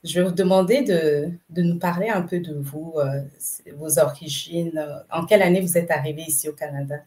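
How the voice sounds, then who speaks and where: Low-voiced woman hosting a podcast. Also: fairly even intonation, normal speed, darkish tone. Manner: hesitant, many fillers and repeated words. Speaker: podcast host.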